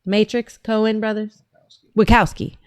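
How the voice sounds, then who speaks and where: Southern-born woman livestreamer, chatty and wandering, smooth voice at a middle pitch. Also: fairly even intonation, unhurried, a little mellow, no room sound, studio quality. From Georgia, US South. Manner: rambling, chatty, trails off and restarts. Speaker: livestream host. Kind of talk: casual livestream chat.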